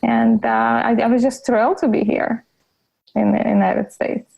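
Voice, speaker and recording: A woman's voice: on the high side, very animated, moderate pace, gravelly, warm tone, breathy edge, dull audio. A middle-aged woman from Ukraine with a Russian accent, a podcast guest on a video call who talks hesitantly with many fillers and restarts. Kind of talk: interview over video call.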